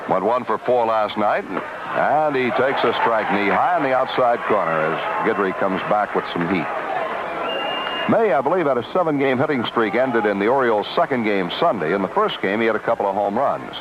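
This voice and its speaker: A man's voice: lowish voice, lively intonation, normal speed, dull audio. A man calling baseball play-by-play as a live radio commentator, on a vintage AM broadcast. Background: stadium ambience and loud noise.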